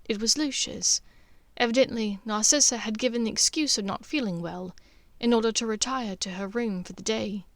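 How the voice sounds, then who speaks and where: A woman's voice: on the high side, normal speed, no echo. A woman reading scripted story narration as an audiobook narrator.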